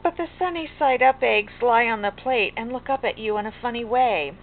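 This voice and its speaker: An older woman voicing a picky, curious little girl from a storybook, in an earnest, drawn-out sing-song way. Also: on the high side, creaky, a little hiss or hum, voice pushed up. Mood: earnest, fussy. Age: older, grandmother. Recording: muffled lo-fi home recording, music behind.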